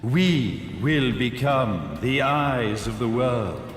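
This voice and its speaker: An actor playing a pompous, calmly megalomaniac sage in a video game cutscene, speaking in measured, dramatic pauses. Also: male voice, medium pitch. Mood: grandiose. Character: grandiose villainous sage, calm megalomaniac executive. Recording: echoey hall reverb under loud music.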